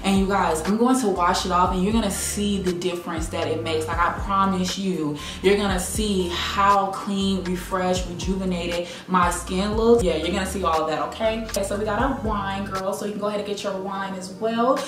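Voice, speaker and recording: A woman's voice: mid-range voice, steady tone, moderate pace, hardly any pauses. An American woman in her late twenties from South Carolina, chatting casually to camera and addressing viewers like girlfriends. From South Carolina, United States. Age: late 20s. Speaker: YouTube content creator. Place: indoors, living room at home. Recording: echoey room, distant mic, music behind. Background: background music.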